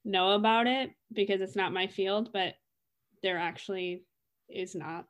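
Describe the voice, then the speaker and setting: A woman's voice: medium pitch, fairly even intonation, unhurried. A young adult woman talking casually and reflectively as a co-host in a discussion. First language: English.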